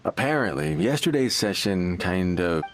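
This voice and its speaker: A man voicing a troubled, evasive fisherman in a video game, hesitant and trying to sound calm. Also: low voice, normal speed, a little hiss or hum. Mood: uneasy, evasive. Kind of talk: English video game voice acting.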